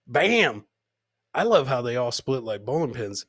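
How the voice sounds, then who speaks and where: A man's voice: medium pitch, very animated, a little slow, a bit bright, dry recording, clean studio sound. A man hosting a comedy show, casually commenting on clips with crude, mocking humour and repeating his punchlines.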